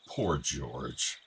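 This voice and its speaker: Very deep-voiced, weary older father. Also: a bit bright, a little hiss or hum, heavily acted. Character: weary, worried ageing father pleading with troubled son. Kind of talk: naturalistic radio play acting.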